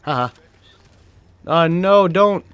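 Young man, Boston accent, gameplay commentary, lively swooping pitch, dry close mic. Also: slow, clear, resonant tone, no echo. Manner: excitable, swears and laughs often, yelps when startled. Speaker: gaming video creator.

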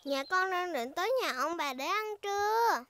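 A woman's voice: very high-pitched, lively intonation, normal speed, fairly bright tone. A woman playing a cheerful, curious, chatty little girl pig in a children's cartoon. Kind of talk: children's cartoon dub in Vietnamese.

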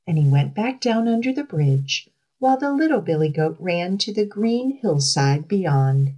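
A woman in middle age speaks to young children as a storytime leader. Her delivery is lively and unhurried, with simple, deliberate phrasing and plenty of expression. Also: lowish voice, highs cut off. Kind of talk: children's storytime reading and instruction.

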